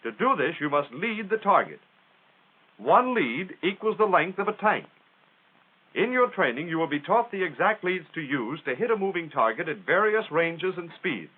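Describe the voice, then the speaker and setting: American male narrator for a 1940s military instructional film; plain-spoken and folksy, highly animated intonation, thin vintage soundtrack sound. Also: moderate pace, husky. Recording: tinny, band-limited 1940s film soundtrack.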